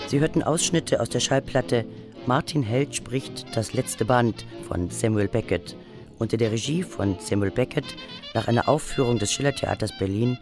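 Man, medium pitch, formal broadcast narration, measured, drums and guitar music behind. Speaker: radio announcer reading credits.

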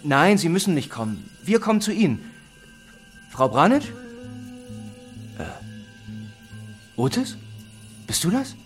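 A man acting a middle-aged husband and father in a radio play, weary and teasing but blunt and quick to take charge. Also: on the high side, big pitch swings, soft background music. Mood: urgent, reassuring.

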